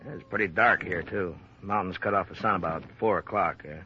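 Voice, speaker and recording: Folksy American sheriff, noisy recording. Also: male voice, deep voice, fairly quick. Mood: laconic, easygoing. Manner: folksy, laconic. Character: seasoned, folksy, easygoing male small-town ranch-country sheriff. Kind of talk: 1950s radio drama acting. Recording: muffled, noisy old radio recording.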